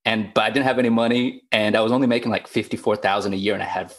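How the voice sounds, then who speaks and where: A man's voice: fairly low pitch, steady tone, very fast, a bit bright. A man in his thirties, from Zambia, telling his story as a podcast guest in a friendly, easy-laughing way. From grew up in Zambia. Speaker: podcast guest, real estate investor. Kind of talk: casual interview chat.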